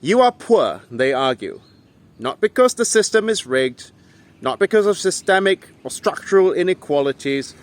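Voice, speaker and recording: A man delivering scripted political commentary in an emphatic, rhetorical manner, with measured phrases and deliberate pauses. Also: sits high, very animated.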